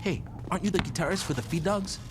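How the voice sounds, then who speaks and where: A man's voice: sits high, quick pace, background noise, lightly acted. A man playing a brash young gang recruit in a game cutscene. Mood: curious. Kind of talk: video game cutscene acting.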